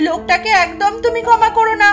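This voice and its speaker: A woman voicing an eager, excitable little girl in a doll story. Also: very high-pitched, quick pace, over music. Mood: earnest, tattling. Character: young girl, eager, gleeful, tattling little daughter.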